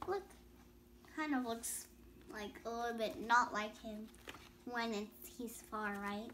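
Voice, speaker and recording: A woman's voice: a little high, takes it slowly, fairly bright tone, slight background noise. A young girl reading a bedtime story aloud with casual explanatory asides, stumbling over words and rereading phrases. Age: child.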